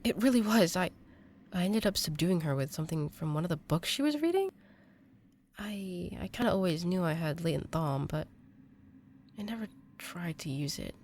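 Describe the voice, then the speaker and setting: Woman as an earnest, lowish-voiced young exorcist. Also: animated, darkish tone, a little hiss or hum. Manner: earnest, halting, emotional. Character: earnest young female exorcist defending her friend. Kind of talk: intimate audio drama acting.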